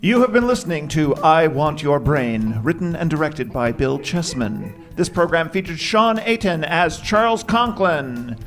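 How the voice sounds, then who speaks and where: High-voiced male radio announcer over music. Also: sing-song, measured pace, hardly any pauses, fairly bright tone. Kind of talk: scripted radio drama credits. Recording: live theater, music and audience behind. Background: music and crowd.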